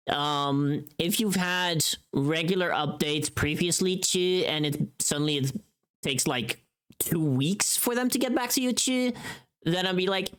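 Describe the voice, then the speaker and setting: Casting: mid-pitched livestreamer voice, chatty and informal, thinking aloud during a casual work stream. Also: fairly bright tone. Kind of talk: casual livestream chat while working.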